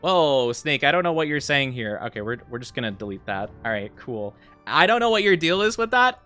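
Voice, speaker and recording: A man's voice: medium pitch, sing-song, a little fast, sharp tone, soft background music. A man streaming live, chatting casually with viewers in a playful, mock-offended way, laughing often, his voice wearing thin. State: losing his voice. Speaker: VTuber streamer.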